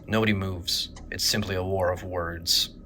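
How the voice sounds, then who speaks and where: A man reads dramatic narration for a horror audio drama. His voice is on the low side with a tense, bright edge. He delivers it in a grave, measured way. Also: fairly even intonation, a little hiss or hum.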